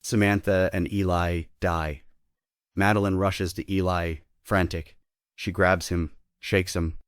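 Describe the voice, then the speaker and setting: Low-voiced man reading stage directions. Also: level tone, takes it slowly, clean tone, no room sound, clean studio sound. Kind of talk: scripted narration.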